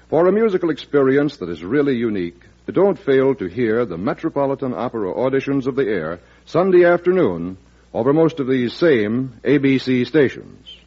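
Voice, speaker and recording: A male radio announcer reads a scripted promotion in a polished, formal vintage style. His voice is husky and lively in pitch, on a muffled old broadcast.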